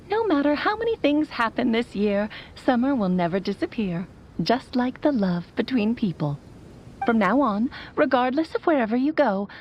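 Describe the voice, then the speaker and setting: A woman's voice: a little high, vocal fry, a little hiss or hum. A woman playing a loving, whimsical mother in a video game, speaking in a tender, lyrical, theatrical way. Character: loving whimsical mother, long-lived mage, playful and wistful. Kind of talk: video game voice acting, storytelling monologue. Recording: slightly muffled, music behind. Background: background music.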